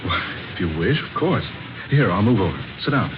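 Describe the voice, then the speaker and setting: An actor playing a broke, jobless, friendly wisecracker in a folksy, slangy 1940s radio drama style. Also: male voice, fairly low pitch, sing-song, brisk, music in the background. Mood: easygoing, courteous. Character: broke, jobless, friendly wisecracking man sleeping on park bench. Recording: muffled, noisy old radio recording.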